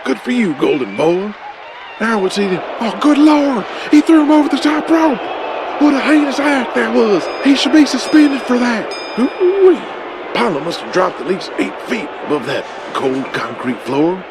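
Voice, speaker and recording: An actor playing a folksy, exclamatory, partisan wrestling commentator from the 1980s in an audio drama, calling a match. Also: male voice, extremely high pitch, very animated, normal speed, crowd noise, noisy background, exaggerated voice. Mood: excited, outraged.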